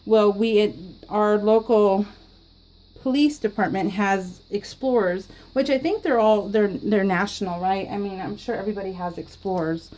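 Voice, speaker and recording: A woman's voice: mid-range voice, moderate pace, a little mellow, rough recording. Chatty middle-aged woman, casual craft vlogger. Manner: giggly, frequently laughing, rambling anecdotal storytelling. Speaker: scrapbooking vlogger. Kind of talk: casual chatty voice-over of a craft process video.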